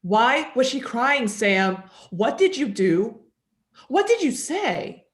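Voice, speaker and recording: A woman's voice: fairly high pitch, very animated, slow pace. A woman playing a stern, protective staff member in a dramatic script reading. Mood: stern anger.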